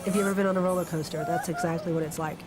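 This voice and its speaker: A woman's voice: fairly low pitch, steady tone, very fast, a loud crowd. A woman recalling her experience as a passenger in a conversational documentary interview. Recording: loud music under voice. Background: loud background music.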